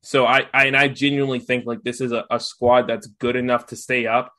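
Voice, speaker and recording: A man's voice: mid-range voice, level tone, fairly quick, bright, forceful tone. A young man, a guest on a sports podcast, chatting casually with many fillers and restarts. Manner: filler-heavy, lots of "like".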